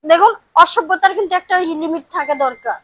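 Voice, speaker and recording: A woman plays a female parcel courier in an amateur audio drama. Her voice is very high and recorded close and dry. She is hurried and insistent, protesting with indignation and standing her ground. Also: a bit bright, no echo. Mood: angry, indignant.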